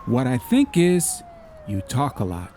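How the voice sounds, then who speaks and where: A man's voice: medium pitch, wildly swooping pitch, measured pace, mellow, a little hiss or hum. A man voicing a streetwise young racer who is cool-headed, wry and teasing, in an audiobook story. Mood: wry challenge. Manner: wry, teasing, falsely humble.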